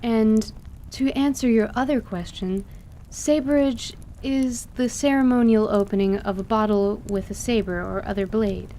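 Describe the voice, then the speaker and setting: A woman's voice: on the high side, steady tone, normal speed, mellow, slight background noise, close to an everyday voice. A woman playing a courteous, learned half-elf in an audio drama, speaking formally and articulately. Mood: composed, instructive. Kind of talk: audio drama acting of a tabletop campaign.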